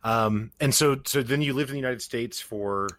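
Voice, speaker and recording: A man's voice: mid-range voice, normal speed, a bit bright, dry recording. A man hosting an interview podcast, speaking in a conversational presenter style. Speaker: podcast host. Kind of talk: conversational podcast interview with scripted-sounding introduction.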